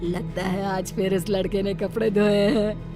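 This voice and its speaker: A woman's voice: medium pitch, level tone, unhurried, far from the mic, music in the background, close to an everyday voice. A woman voicing a vain, spiteful, thieving witch in a horror-comedy story, theatrical and gloating with cackles. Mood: scheming. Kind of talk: Hindi horror-comedy cartoon story acting.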